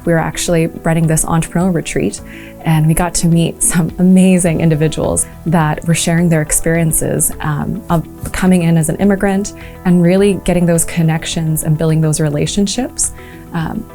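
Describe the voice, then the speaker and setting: A woman's voice: on the low side, mellow. A woman from Malaysia talking earnestly about her work supporting entrepreneurs, with frequent ums and uhs. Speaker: entrepreneurship program leader.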